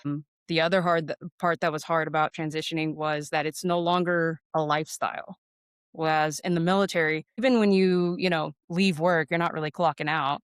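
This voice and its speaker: A woman's voice: deep voice, fairly even intonation, a little fast, clear, resonant tone, dry recording, clean studio sound. A woman from Arizona with an American accent, telling stories in a casual, candid, informal way as an interview guest. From Phoenix, Arizona, United States. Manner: candid, informal, often quotes remembered exchanges. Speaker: interview guest, air traffic controller and former Marine. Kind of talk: casual conversational storytelling.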